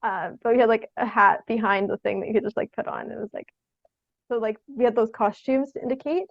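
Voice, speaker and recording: A dry, slightly muffled recording of a young woman with a fairly high voice. She talks casually as an interviewed theatre artist, piecing her thoughts together as she goes and peppering them with "like". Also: fairly even intonation, dry recording. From Sault Ste. Marie, Canada. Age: young adult. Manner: halting, thinking aloud, frequent "like".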